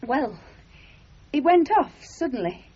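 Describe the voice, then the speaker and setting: An actress playing an aggrieved, chatty middle-aged working-class wife with a Northern English accent in a radio comedy drama. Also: female voice, high voice, sing-song, slow pace, dark tone, exaggerated voice. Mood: reminiscing. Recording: muffled 1970s radio drama recording.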